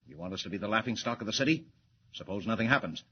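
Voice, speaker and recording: An actor playing a brusque, worried middle-aged newspaper editor in a 1940s radio serial, barking orders. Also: male voice, lowish voice, animated, very fast, slight background noise, close to an everyday voice. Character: gruff harried male newspaper editor. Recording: dull lo-fi old radio broadcast.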